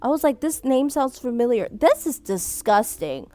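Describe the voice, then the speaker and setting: Animated young woman with a high voice. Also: normal speed, no room sound. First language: Vietnamese. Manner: animated, laughs often, trails off and restarts. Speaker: streamer reading viewer submissions. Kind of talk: casual chat.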